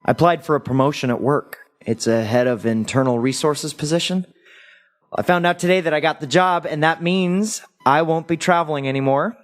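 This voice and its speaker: A man's voice: fairly high pitch, fairly quick, close to an everyday voice. A man acting a loving, wistful and frightened husband in an audio play, speaking in a sentimental, hesitant way. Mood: tender, earnest. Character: recently deceased loving husband, tender, wistful, scared.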